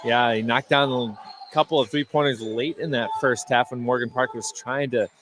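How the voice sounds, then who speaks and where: A man giving unscripted color analysis at a basketball game, halting and repeating words as he thinks aloud. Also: medium pitch, steady tone. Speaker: color commentator. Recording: faint gym noise behind the voice. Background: indoor gymnasium ambience.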